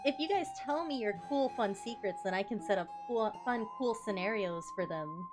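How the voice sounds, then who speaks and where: A woman's voice: medium pitch, expressive, moderate pace. A woman game master chatting casually with players. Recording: music playing behind the voice. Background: clear background music.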